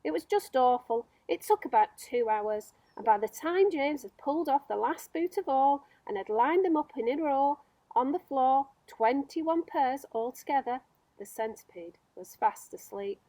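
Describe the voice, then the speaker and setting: Lady reading a story to kids, expressive, highish pitch, close dry recording. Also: moderate pace, no echo, low-quality recording. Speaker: storyteller reading aloud, school staff. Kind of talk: children's audiobook narration.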